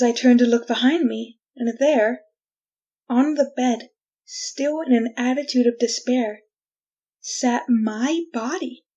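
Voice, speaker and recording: An actress playing a desperate, haunted woman prisoner condemned though innocent, telling her tale in theatrical, deliberate retro radio drama style. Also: on the high side, mellow, husky, lo-fi sound.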